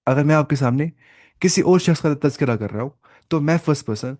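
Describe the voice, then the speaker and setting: A man's voice: on the high side, darkish tone, no echo, clean studio sound. Patient man teaching a class on a call. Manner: slow, careful sounding-out of example phrases; patient, repetitive explaining. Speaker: Arabic teacher. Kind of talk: online class lecture. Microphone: video call.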